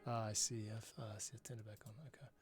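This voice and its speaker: A man composing on a livestream, muttering casual, halting commentary to himself as he works. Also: lowish voice, little pitch movement. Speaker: music composer livestreaming his work. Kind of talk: casual thinking-aloud work commentary. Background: instrumental music playback.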